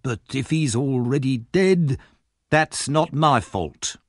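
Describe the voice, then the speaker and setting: An edgy male villain acted by a narrator, impatient and jumpy, with a lively, moving pitch. He is a storybook conspirator, quick to defend himself. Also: no echo, studio quality. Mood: impatient, defensive.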